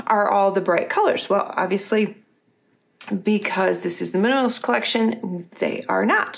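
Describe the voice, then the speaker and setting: A middle-aged woman, a little husky and losing her voice, chatting casually as she walks through her planner pages. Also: medium pitch. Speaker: craft company host. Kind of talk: casual craft tutorial voice-over.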